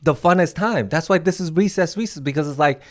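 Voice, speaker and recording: A man's voice: on the high side, quick pace. A young man from Taiwan, co-hosting a casual comedy podcast, rambling through stories and acting out the quotes. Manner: rambling storyteller, acts out quotes. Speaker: podcast co-host.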